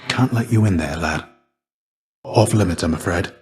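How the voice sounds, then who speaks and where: A deep male voice for a video game character, performed by an actor: a fallen Greek warrior, stoic and weary. He speaks low, in measured, formal phrases. There is a restrained, gentle gravity to him, like a fatherly mentor. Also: lightly acted. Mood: firm, gruff.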